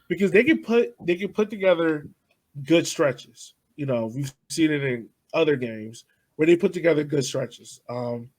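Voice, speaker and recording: A man co-hosting a casual soccer podcast, thinking aloud in an animated way. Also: fairly high pitch. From United States. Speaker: podcast co-host. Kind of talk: casual sports chat.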